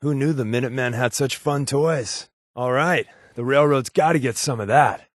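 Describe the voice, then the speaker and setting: A man's voice: medium pitch, moderate pace, no echo, studio quality, lightly acted. A male actor playing a sly, sardonic undercover rebel spy in a video game, tossing out glib, casual quips. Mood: wry. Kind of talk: video game companion dialogue.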